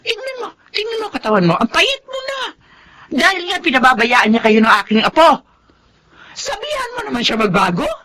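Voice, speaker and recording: A woman's voice: high voice, wildly swooping pitch, fairly quick, fairly bright tone, full character voice. An actress playing an older Filipino mother and grandmother in a radio drama, nagging and emphatic out of concern for her grown son. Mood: worried, pleading.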